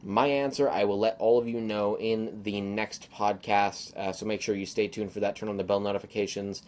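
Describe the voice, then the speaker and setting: A young man hosting a solo podcast, rambling casually with lots of fillers and restarts, his voice a little hoarse from a sore throat. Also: on the low side, steady tone, moderate pace, a little mellow. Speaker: podcast host.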